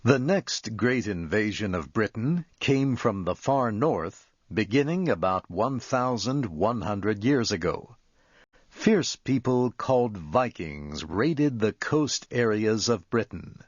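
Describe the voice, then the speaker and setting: A man reading a scripted educational narration for English learners in a deliberate, measured, clearly enunciated manner. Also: fairly low pitch, no room sound. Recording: muffled lo-fi audio.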